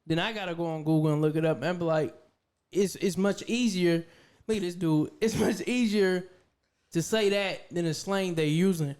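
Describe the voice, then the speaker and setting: Thirty-year-old man, highish voice, chatting. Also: normal speed, darkish tone, clear, resonant tone. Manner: slangy, colloquial. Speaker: podcast co-host. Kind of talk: casual chat.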